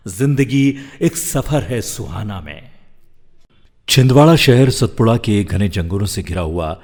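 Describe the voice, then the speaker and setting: A middle-aged man reading scripted voice-over in a measured, dramatic way. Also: fairly low pitch, expressive, a little mellow, long reverb tail. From India. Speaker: professional voice-over artist. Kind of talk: scripted voice-over for commercials and narration.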